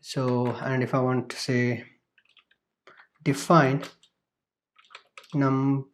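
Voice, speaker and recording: Casual coding tutor, a man of middle pitch who rambles through examples with fillers. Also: little pitch movement, extremely slow. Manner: loose, repetitive explaining with many fillers and restarts. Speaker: programming tutor. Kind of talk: screen-recorded coding tutorial.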